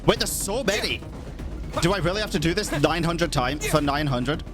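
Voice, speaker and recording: A young man doing casual, slangy game-stream commentary, reacting out loud and swearing freely. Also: fairly high pitch, animated, normal speed, over music.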